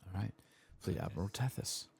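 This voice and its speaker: A soft-spoken man with a gravelly voice doing an ASMR game playthrough, muttering asides and reading game text. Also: on the low side, moderate pace. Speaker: gaming streamer. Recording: faint game ambience behind.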